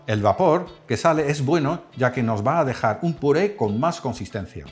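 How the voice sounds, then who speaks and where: Male cooking host, animated delivery over faint music. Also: mid-range voice, moderate pace. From Netherlands. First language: Dutch.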